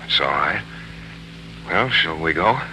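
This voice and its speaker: An actor playing a polite, disillusioned war veteran in a 1940s radio drama, terse and understated. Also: male voice, rumbling low, animated, slow, bright, forceful tone, full character voice, low-quality recording. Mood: subdued, frank. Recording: hissy old 1940s radio recording.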